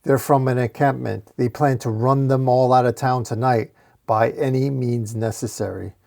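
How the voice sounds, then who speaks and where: A man's voice: medium pitch, steady tone, normal speed, creaky, a little mellow, close to an everyday voice. A man voicing an earnest, weary priest who speaks with urgent concern in a comic book story. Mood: grave, weary. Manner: pleading, urgent. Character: earnest pleading male priest, gentle and weary.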